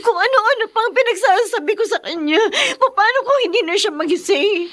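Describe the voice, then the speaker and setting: A very high, young female voice with a Filipino accent. She is an anguished, guilt-ridden daughter in a radio drama. Her delivery is raw, emotional and overwrought. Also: lively intonation, fairly quick, fairly bright tone. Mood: tearful, guilty. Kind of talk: Tagalog radio drama acting.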